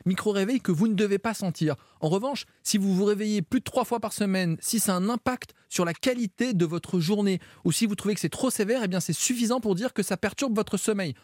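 A man giving medical advice as a doctor on a radio health show, explaining things briskly. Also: high-pitched, a little mellow, no room sound, studio quality. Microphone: studio mic.

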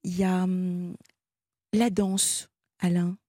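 A woman hosting a late-night call-in radio show, speaking gently, teasing a little and rephrasing what the caller says. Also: fairly low pitch, little pitch movement, takes it slowly. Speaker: radio host.

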